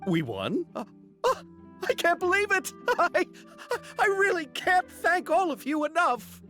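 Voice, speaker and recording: Excitable, polite film producer: very animated pitch, earnest game delivery, faint music behind. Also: male voice, a little slow, heavily acted. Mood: overwhelmed, grateful. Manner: polite, effusive. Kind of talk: English video game voice acting.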